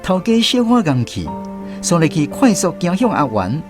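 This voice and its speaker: An actor playing a reflective former soldier, narrating a radio drama expressively with a Taiwanese accent. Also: male voice, fairly high pitch, moderate pace, over music. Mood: tense recounting. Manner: expressive storytelling.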